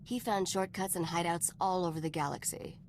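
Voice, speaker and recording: A woman's voice: fairly low pitch, steady tone, fairly quick, slight background noise, natural voice. A woman voice-acting a cool, knowing smuggler informant in a video game, wry and composed as she tells stories.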